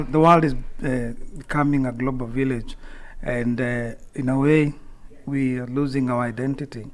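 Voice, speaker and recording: A man with a Kenyan accent answering as a guest in an unscripted radio interview, in a hesitant, halting way. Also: mid-range voice. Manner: hesitant, halting delivery with many pauses. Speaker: interview guest, community leader.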